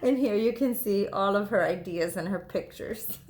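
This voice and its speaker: A woman teacher reading a children's story aloud, expressive and emphatic, speaking to young listeners. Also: mid-range voice, normal speed. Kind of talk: animated storybook narration. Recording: echoey room.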